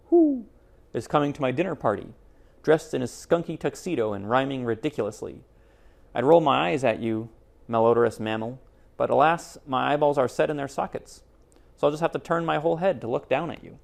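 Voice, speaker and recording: A man voicing a pompous, witty great horned owl for a children's picture book, with drawn-out hoots among wordy phrases. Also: medium pitch, vocal fry, a little mellow.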